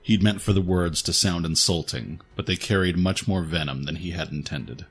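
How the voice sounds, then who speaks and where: A man reads dramatic fiction as the narrator of an audio story. His voice is low, and faint music plays behind it. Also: normal speed, husky. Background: faint background music.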